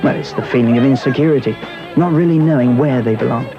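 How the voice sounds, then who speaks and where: A British man gives a conversational, matter-of-fact voice-over at a medium pitch. The soundtrack is dull and lo-fi, like an old 1980s public information film, with guitar music prominent behind him. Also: fast. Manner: plain-spoken, matter-of-fact, colloquial.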